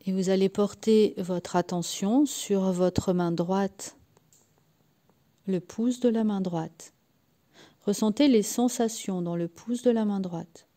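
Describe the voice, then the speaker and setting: A woman leading a guided yoga nidra relaxation in a soft, sometimes breathy voice, soothing and unhurried, leaving long pauses between short phrases. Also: mid-range voice. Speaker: guided meditation and sophrology practitioner.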